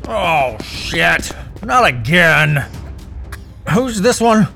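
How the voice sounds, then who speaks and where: A gravelly, unfazed company staffer. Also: male voice, on the high side, sing-song, drawn-out words, sharp tone, soft background music, exaggerated voice. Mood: weary, annoyed.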